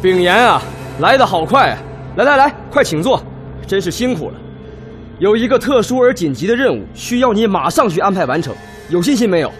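A man playing a brisk, purposeful senior Red Army officer in a radio drama, with an emphatic, orderly delivery. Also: sits high, sing-song, fairly bright tone, faint music behind. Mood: urgent, encouraging. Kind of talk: Chinese radio drama acting.

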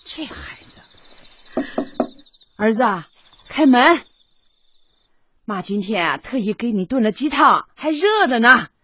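A woman's voice: on the high side, big pitch swings, slow, pauses often, bright, forceful tone, breathy edge, no room sound, highs cut off, exaggerated voice. An actress playing a thrifty, doting middle-aged mother in a radio drama, homely, fretful and coaxing. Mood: concerned.

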